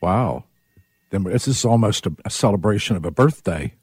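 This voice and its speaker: A man's voice: on the low side, animated, moderate pace, darkish tone, husky. A man hosting a casual interview, chatty and chuckling as he introduces and questions his guest. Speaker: host.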